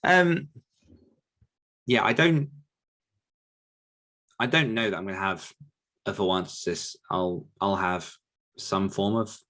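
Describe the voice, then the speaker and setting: Guy with a fairly low voice chatting on a podcast, informal and kinda reflective. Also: takes it slowly, pauses often, fairly bright tone. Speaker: author coach answering questions on a podcast. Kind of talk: casual podcast conversation.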